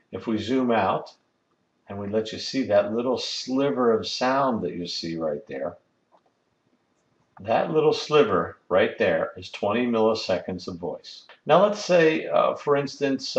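A man's voice: medium pitch, reverberant, dull audio. A man giving a methodical, step-by-step technical lesson as an instructor. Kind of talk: explanatory screen-recorded lesson.

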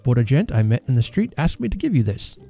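A man voicing a quick-thinking working-class van driver in a story, with a rough, stern manner. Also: medium pitch, very animated, mellow, soft background music, exaggerated voice. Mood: polite, vague.

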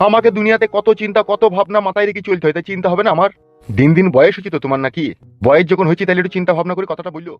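Guy doing proud village dad in a cartoon, rural west bengal accent, high pitched and argumentative, lo-fi sound with music. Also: rapid-fire, no room sound, natural voice. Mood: irritated. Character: proud, stubborn village father and husband. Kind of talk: comic cartoon dubbing. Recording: dull lo-fi audio, music behind.

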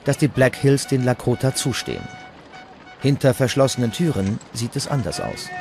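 A man narrates a documentary from a prepared script. His voice sits at a medium pitch, and music plays clearly behind him. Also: a little mellow.

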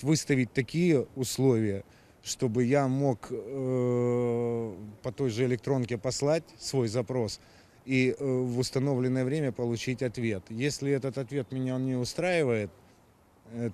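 Man on the street, mellow darker voice, pushing his point, fumbling words now and then. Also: medium pitch. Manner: insistent, searching for words. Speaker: passerby. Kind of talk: street vox pop.

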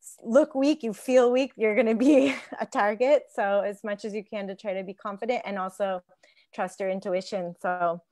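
A woman's voice: medium pitch, fairly even intonation, normal speed, warm tone, no echo, clean studio sound. A woman in her forties casually sharing stories and advice as a guest on a round-table call. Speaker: tour guide, panel guest. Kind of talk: casual conversational storytelling and advice. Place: living room couch, indoors. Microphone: wired earphone mic on a video call.

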